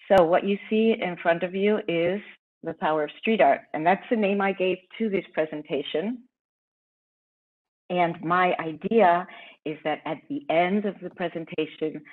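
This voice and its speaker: A woman in her fifties from Argentina, a tour guide giving a friendly, chatty conference talk over a video call with many ums and restarts. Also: sits low. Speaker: tour guide presenting online. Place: indoor room at home. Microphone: laptop webcam on a video call. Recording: tinny video call audio.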